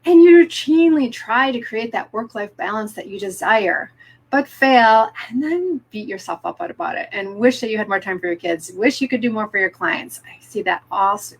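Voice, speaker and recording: A woman's voice: fairly high pitch, a bit bright. A middle-aged woman presenting live online as a business coach, upbeat and encouraging, speaking directly to her viewers.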